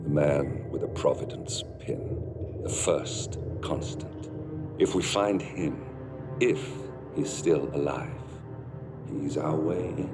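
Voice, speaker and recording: An actor playing a grim, driven rebel operative with a grave, measured delivery in a video game cutscene. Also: male voice, a bass voice. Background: ominous cinematic music.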